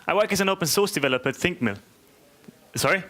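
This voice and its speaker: Bright-voiced man giving a talk. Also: high voice, expressive, quick pace. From Austria. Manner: chatty, joking, often tags sentences with "right?". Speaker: conference speaker, software developer. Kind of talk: informal tech conference talk. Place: conference room, live talk to an audience.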